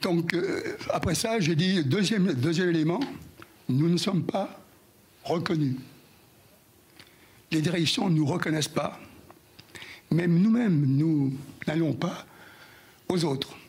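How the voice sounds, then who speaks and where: A hoarse older man giving a speech to an audience, halting and repeating words as he recalls past events. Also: a little high, a little mellow. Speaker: former union president giving an anniversary speech.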